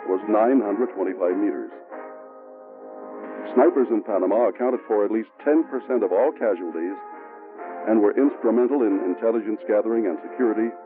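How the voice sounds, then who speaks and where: Low-voiced guy narrating an old army training film, steady and measured, tinny sound, faint music behind. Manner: steady, measured military briefing delivery. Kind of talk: scripted documentary narration.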